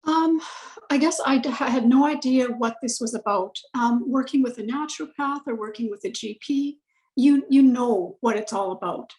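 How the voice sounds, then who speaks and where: A woman with a gravelly voice talking casually over a video call, full of fillers and self-corrections. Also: a little high, moderate pace, a little mellow, reverberant, poor audio. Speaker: client giving a testimonial. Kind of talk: casual conversational testimonial.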